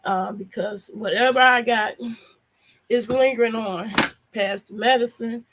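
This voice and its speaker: Woman vlogger, hoarse and nasal, gravelly and slightly high; rambling, frank chat to her viewers. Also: fairly even intonation, unhurried, noisy background. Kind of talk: casual chat to a live audience.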